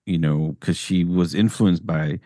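Deep-voiced man interviewing, dry sound. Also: measured pace, dark tone, dry recording. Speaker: podcast interviewer. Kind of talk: casual conversational interview.

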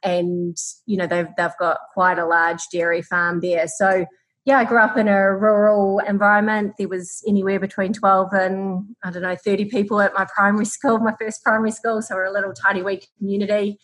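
A woman's voice: mid-range voice, flat delivery, moderate pace, a bit bright, low-quality recording. A woman with a New Zealand accent, answering conversationally as an interview guest who farms and founded a tech business.

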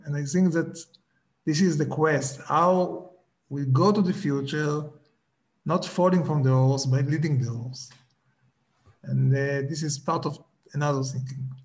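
A man, a Hebrew speaker, giving a slow, reflective talk and often stopping to search for words. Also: on the high side.

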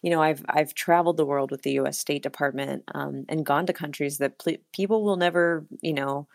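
A woman's voice: low voice, fairly even intonation, fairly quick, vocal fry, no echo, studio quality. An American woman talking reflectively in a casual interview, with many fillers and laughs. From United States. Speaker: singer-songwriter guest.

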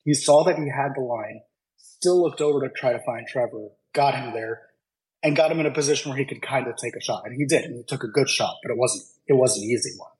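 Male caller, husky, steady and plain. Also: medium pitch, fast, low-quality recording. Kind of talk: casual comment.

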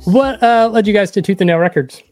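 A man's voice: high voice, brisk, a little mellow, dry recording, clean studio sound, faint music behind. A man hosting a podcast interview in a casual, informal way, thinking aloud in loose phrasing.

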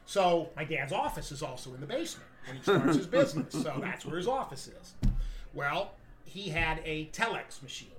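A man in his fifties, a podcast guest who owns a coffee business, telling rambling anecdotes in a loud, carrying voice. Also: fairly high pitch, sing-song, normal speed. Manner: loud voice that carries; rambling anecdotes with many restarts. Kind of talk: casual storytelling among friends. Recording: other men's chatter and laughter around him.

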